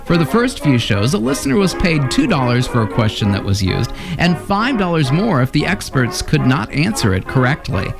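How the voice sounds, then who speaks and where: A man's voice: a little high, huge pitch swings, moderate pace, creaky, hardly any pauses. A man reading scripted narration as the presenter of a radio history series. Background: music.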